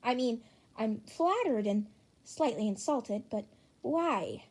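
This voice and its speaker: A modest teenage boy student read by a woman in a fan fiction audiobook. The voice is high and mild, polite and earnest with a bashful hesitancy. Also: expressive, slow, a little mellow. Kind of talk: dramatised fan fiction reading.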